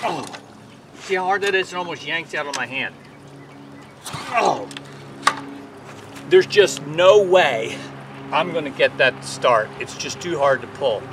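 A man's voice: a little high, lively intonation, a little slow, pauses often, noisy background. A man in his forties hosting a hands-on small engine repair tutorial outdoors on a lawn, explaining each step in a plain, folksy way.

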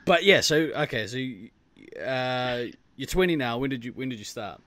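A man's voice: mid-range voice, lively intonation, moderate pace, bright, forceful tone, no room sound. A man hosting a podcast in casual chat, loose and laughing, with plenty of fillers. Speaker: podcast host.

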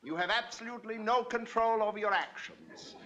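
A pompous vocal coach. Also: male voice, high-pitched, animated, brisk, lo-fi sound. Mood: instructive. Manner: pompous, instructive. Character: fussy, brisk male vocal coach, middle-aged. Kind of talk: 1960s sitcom acting. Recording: studio sitcom with audience laughter and hiss. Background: studio audience laughter.

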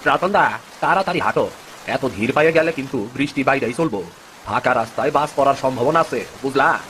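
A man's voice: medium pitch, lively intonation, brisk, fairly bright tone, lightly acted, low-quality recording. A man playing a village fisherman companion in a cartoon, on a hissy track. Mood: urgent. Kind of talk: dramatic cartoon story dub.

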